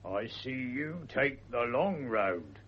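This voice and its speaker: An actor playing a gruff, suspicious backstreet café owner in a mid-century British radio drama. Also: male voice, mid-range voice, sing-song, slow pace, a little hiss or hum. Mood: wary. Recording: dull old radio recording.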